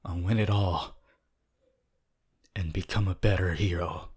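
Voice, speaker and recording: A man voicing a cold, proud, brooding teenage hero student in an ASMR audio roleplay, husky and breathy, often near a whisper, with terse, deliberate delivery. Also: deep voice, fairly even intonation, darkish tone, exaggerated voice. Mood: cold, determined. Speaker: roleplay voice actor.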